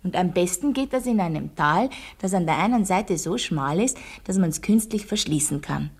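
Brisk female schoolteacher, lowish pitch, firm and didactic, for radio drama. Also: lively intonation, a little mellow, slightly rough. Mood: instructive. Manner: didactic, leading questions, firm. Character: brisk female village schoolteacher quizzing her class.

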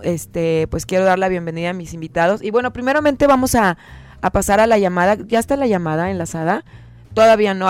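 A woman hosts a radio conversation in Mexican-accented Spanish. Her voice sits a little low for a woman. She talks in an informal, chatty way, drawing out her guests. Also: darkish tone. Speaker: radio host.

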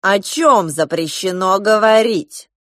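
A stern young prince voiced by a woman scolds loudly and reproachfully in slow, drawn-out words. The recording is dry and close. It sounds like a haughty royal in a storybook. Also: mid-range voice, no echo, pitched above the performer's own voice.

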